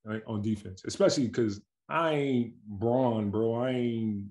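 A man in his thirties from Milwaukee, a pro basketball player, telling stories in a relaxed, slangy way on a video call from home. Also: medium pitch, a little slow. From Milwaukee, Wisconsin, USA. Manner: relaxed, slangy, animated storytelling with frequent laughs. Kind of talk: casual interview chat. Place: home with staircase behind, indoors. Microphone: video call webcam.

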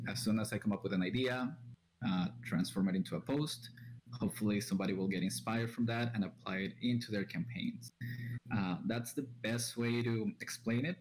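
A man's voice: fairly low pitch, darkish tone, rough recording. A young man from Colombia, a native Spanish speaker, appears as a guest on a video call and talks hesitantly, often starting with "um", into a headset and studio mic at home. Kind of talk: casual video call chat. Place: small room at home. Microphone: headset with large studio mic.

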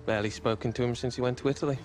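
An actor plays a young British man, a footballer who jokes but stays guarded and downcast. His voice is mid-pitched, natural game-cutscene acting over faint music. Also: steady tone, racing, darkish tone, lightly acted. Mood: bitter, subdued. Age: young adult.

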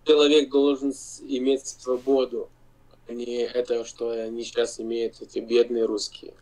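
A man in his forties from Lithuania with a Lithuanian accent, speaking Russian as a non-native, insistent and halting with pauses, over a video call. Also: medium pitch, monotone, a little mellow, rough recording. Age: 40s. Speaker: video-chat guest. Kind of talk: heated video-chat argument.

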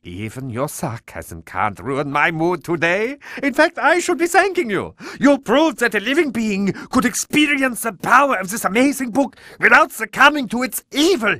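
Grandiose German mad scientist, wildly swooping voice. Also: male voice, high voice, big pitch swings, moderate pace, hardly any pauses, pushed and bright, no echo, studio quality, exaggerated voice. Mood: smug. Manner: pompous, gloating, melodramatic. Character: grandiose, diabolical male mad scientist doctor. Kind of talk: theatrical video game villain acting.

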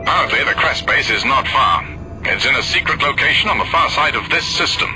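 A man voicing a steady, protective space pilot who speaks in a calm, formal way over radio chatter in a game. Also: mid-range voice, brisk, over music, close to an everyday voice, poor audio. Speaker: wingman ally in a space game.

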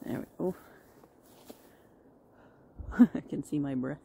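Mellow middle-aged Canadian woman, French native speaker. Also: sits low, slow. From Quebec, Canada, raised partly in Ontario. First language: Quebec French. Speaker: vlogger. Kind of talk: casual chat to camera. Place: outdoors in a field, yard and open shelter. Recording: outdoor ambience, clear background noise.

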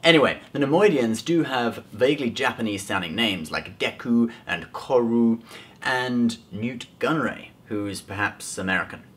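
A man's voice: mid-range voice, animated, moderate pace, a bit bright. A man in his twenties hosting a scripted explainer video about films, speaking to camera. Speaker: host of a film trivia video. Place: small indoor room at home.

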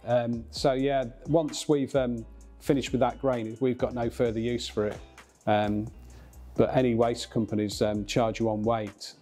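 A man in his fifties talks about his brewery in a documentary interview. His voice is dark and mellow, of middling pitch and very even in tone. Light music plays underneath. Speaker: brewery owner or worker. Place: brick-walled room indoors.

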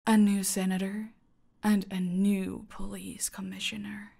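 A woman playing a smug, menacing female cartel boss in an audio roleplay, speaking deliberately and haughtily, often dropping into breathy near-whispers. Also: mid-range voice, flat delivery, warm tone, exaggerated voice. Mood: commanding, scheming. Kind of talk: dramatic audio roleplay monologue addressed to a captive.

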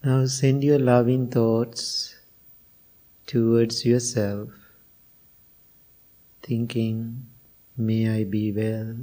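Slow, mellow man guiding meditation. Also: lowish voice, low-quality recording. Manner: slow, unhurried phrases with long pauses. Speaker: Buddhist monk and meditation teacher. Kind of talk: guided meditation and spiritual talk.

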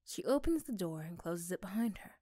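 A woman's voice: fairly low pitch, expressive, brisk, mellow, breathy edge, dry recording, clean studio sound. A woman reading scripted story narration for an ASMR roleplay.

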